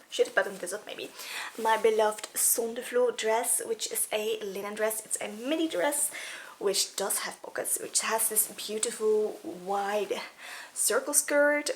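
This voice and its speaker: A young woman with a sore, fading voice talks casually to the camera in a small plain room. She chats loosely with frequent fillers and asides, and a faint noise sits under her voice. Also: mid-range voice. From Netherlands. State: losing her voice, sore throat. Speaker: fashion vlogger. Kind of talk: casual wardrobe walkthrough.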